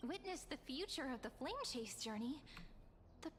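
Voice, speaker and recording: A woman's voice: high voice, animated, normal speed, slight background noise. A woman playing an earnest, friendly young travelling companion in a video game.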